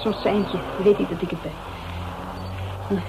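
A woman's voice: mid-range voice, fairly even intonation, normal speed, close to an everyday voice. An actress playing an anxious, loyal young woman in a Dutch radio crime drama, colloquial and questioning. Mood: nervous. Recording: noisy, narrow-band old radio drama recording.

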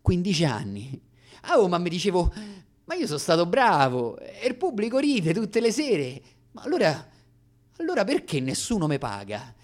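A man's voice: high voice, wildly swooping pitch, normal speed. An actor playing a young aspiring comic from Rome, telling his story with a Roman accent in a dramatic, self-mocking radio monologue. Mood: plaintive.

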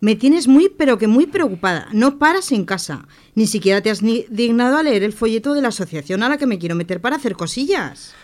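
Woman acting a high, nagging wife. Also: animated, brisk, hardly any pauses, darkish tone, lightly acted. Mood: worried, reproachful. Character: reproachful, worried neglected wife. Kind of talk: radio serial acting.

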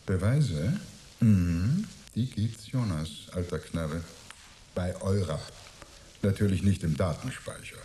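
A man's voice: low voice, lively intonation, warm tone, a little hiss or hum. An actor playing a sly, chummy shady fellow in a crime radio play, wheedling and smug as he speaks. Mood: smug, conspiratorial. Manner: wheedling, smug, drawn-out words. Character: sly, chummy shady old acquaintance in hiding. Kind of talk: German sci-fi crime radio play acting.

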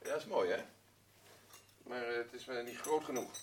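Een acteur die in een hoorspel een stugge zwemleraar speelt, kortaf en soms haperend. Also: male voice, medium pitch, moderate pace, lightly acted. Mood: hesitant, hospitable. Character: gruff defensive swimming instructor with estranged wife. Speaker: radio drama actor. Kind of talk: Dutch radio play dialogue. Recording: noisy old radio drama recording.

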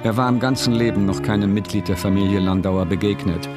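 A man narrating a literary radio drama in a measured, matter-of-fact way. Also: on the low side, steady tone. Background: music.

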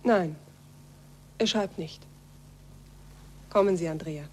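An actress playing a scientist's dutiful, reserved daughter in a 1940s radio drama. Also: female voice, on the low side, takes it slowly. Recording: noisy 1940s radio recording.

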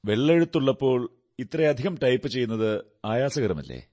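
A man playing a shrewd, self-assured detective in a radio drama, speaking in a deliberate, courteous, analytical way. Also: mid-range voice, expressive, darkish tone, lightly acted. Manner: deliberate, deductive, theatrical delivery. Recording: radio drama with music behind. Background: music.

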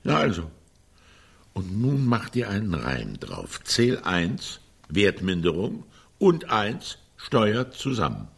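An actor playing a pragmatic, sarcastic middle-aged older brother in a German radio play, dry and given to emphatic repetition. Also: male voice, on the low side, big pitch swings, slow pace, creaky, breathy edge, full character voice. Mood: dry, lecturing. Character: pragmatic, sardonic older brother, a business consultant.

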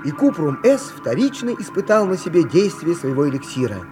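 A man's voice: fairly high pitch, very animated, brisk. A man narrating a children's radio play as a storyteller, reading scripted prose. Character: expressive male storyteller narrating a children's tale. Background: music.

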